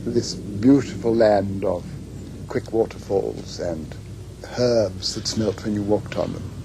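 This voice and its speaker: An older man with an English accent, reminiscing and reading letters aloud in a measured, emphatic, literary way. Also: lowish voice, creaky, noisy background. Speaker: author's son giving reminiscences and readings.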